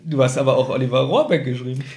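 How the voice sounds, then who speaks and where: A man's voice: mid-range voice, fast, darkish tone. A man, co-hosting a podcast with casual banter in a living room on a shared microphone. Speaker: podcast co-host. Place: living room at co-host's home. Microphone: one microphone shared with co-host.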